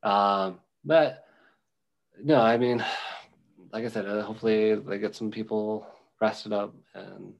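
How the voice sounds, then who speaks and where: Young male podcast co-host, low gravelly voice, halting laid-back football chatter. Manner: rambling, halting, many ums and restarts. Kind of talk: casual sports chat.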